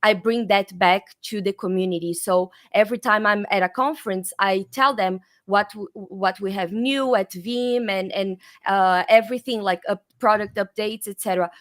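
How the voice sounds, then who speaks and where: Brief: Brazilian-accented woman, mid-pitched, chatty guest, lots of fillers, video call audio. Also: moderate pace, few pauses. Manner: chatty, many fillers and restarts. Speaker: guest, developer advocate. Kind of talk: casual interview chat.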